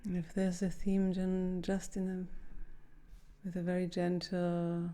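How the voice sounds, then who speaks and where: A woman leading a guided meditation in a gentle, soothing, unhurried manner with long pauses. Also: fairly low pitch, completely flat delivery.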